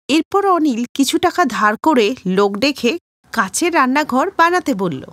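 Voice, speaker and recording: A woman's voice: high voice, a bit bright. A woman reading a scripted moral story for children as the storyteller.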